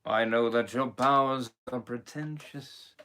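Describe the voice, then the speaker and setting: A man voicing a sly, menacing male lion villain in a low, sneering drawl. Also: steady tone, measured pace, sharp tone, clear, resonant tone.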